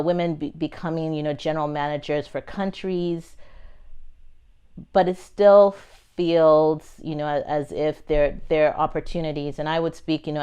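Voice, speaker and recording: A middle-aged woman with a Nigerian accent that carries traces of American and British speech. Her voice sits low and sounds smooth and resonant. She answers interview questions thoughtfully and earnestly. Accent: Nigerian, with American and British touches. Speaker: pharma executive guest.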